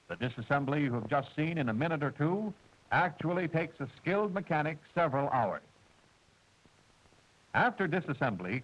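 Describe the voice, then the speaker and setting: A man narrating a 1940s military training film, with a precise, measured and formal delivery, heard through an old film soundtrack. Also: a little high, muffled recording. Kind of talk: scripted instructional narration.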